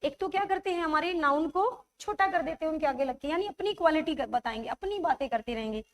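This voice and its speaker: A woman teacher with a high voice leads an online grammar class, her throat sore. She keeps coaxing the class with quick check-ins and slows right down to spell out examples. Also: expressive. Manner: coaxing, checks understanding often; writes examples while speaking, slows to dictate them.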